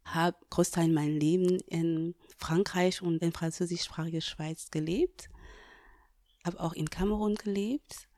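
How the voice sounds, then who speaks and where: Woman, low dark voice, dry close sound, lots of ums while she explains. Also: steady tone, measured pace, no room sound. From Cameroon. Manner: hesitant with frequent fillers, earnest. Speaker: diversity trainer, workshop leader. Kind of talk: interview answers.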